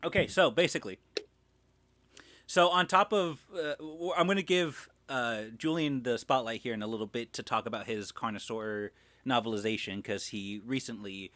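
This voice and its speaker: Guy hosting a stream, bright forceful voice, rambly and self-deprecating, close dry sound. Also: medium pitch, moderate pace, no room sound. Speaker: livestream host. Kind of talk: casual chat.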